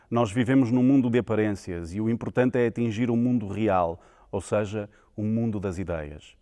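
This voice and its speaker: Male narrator, European Portuguese accent, dark relaxed tone, scripted educational read, long reverb. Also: lowish voice.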